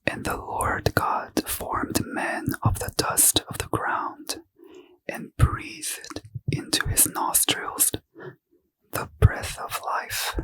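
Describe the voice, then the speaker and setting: A man reading scripture as a bedtime ASMR story in a breathy, hushed, careful whisper, close to the mic. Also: no room sound, poor audio. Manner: slow, careful, hushed delivery. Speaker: ASMR reader.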